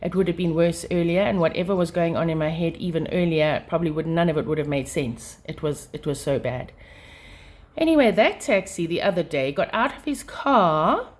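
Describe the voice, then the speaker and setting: A South African woman venting about her drive, with frequent throat clearing as she gets over a sinus attack. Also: on the low side, fairly quick, a little mellow. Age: adult mother of a schoolchild. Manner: frustrated, passionate, many fillers. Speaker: vlogger. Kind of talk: casual to-camera rant.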